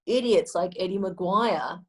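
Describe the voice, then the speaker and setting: A woman's voice: lowish voice, a little slow, slightly rough. A woman with an Australian accent, a guest speaking emphatically in a casual conversation and repeating phrases for stress.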